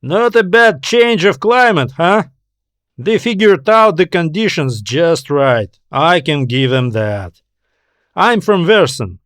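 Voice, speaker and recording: A man's voice: high voice, no echo, studio quality, pitched above the performer's own voice, full character voice. A man voicing a breezy, sardonic castaway man with casual, wry, slangy talk in an audiobook story. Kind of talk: audiobook character dialogue.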